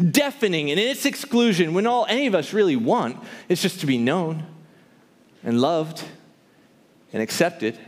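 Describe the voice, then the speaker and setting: A man performing theatrical spoken word poetry to a live audience, with an impassioned, emphatic, rhythmic rhyming delivery. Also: on the high side. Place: large hall, live before an audience. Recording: reverberant hall, live stage performance.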